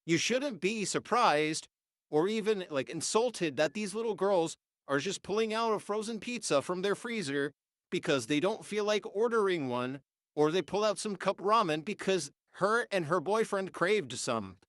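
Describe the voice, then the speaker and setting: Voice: mid-range voice, lively intonation, normal speed, dry recording, clean studio sound. A nineteen-year-old vlogger, congested and sniffling, ranting heatedly and swearing through an AI voice filter. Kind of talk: unscripted rant.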